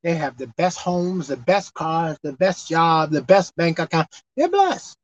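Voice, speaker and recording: A woman preacher delivering a sermon with animated, call-and-response delivery, acting out bits of dialogue. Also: sits low, a little slow, dry recording. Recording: dull lo-fi dry sound.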